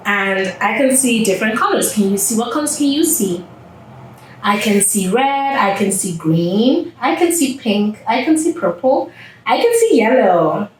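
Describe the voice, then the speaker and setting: A woman's voice: on the high side, slight background noise. A woman reading a storybook aloud to young children, with simple child-directed phrasing and questions to her listeners. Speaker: children's read-aloud host. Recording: distant mic in echoey room, background music.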